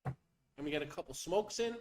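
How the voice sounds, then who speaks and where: Animated, profane young man riffing. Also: on the high side, fast, noisy background. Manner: profane, riffing, playful bits with voices. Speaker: podcast host. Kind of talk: rambling comedic podcast chat.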